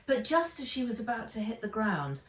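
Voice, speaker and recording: A woman reading a children's adventure story aloud with dramatic, expressive narration. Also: fairly high pitch, fairly quick. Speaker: author reading own book aloud. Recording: roomy, distant microphone.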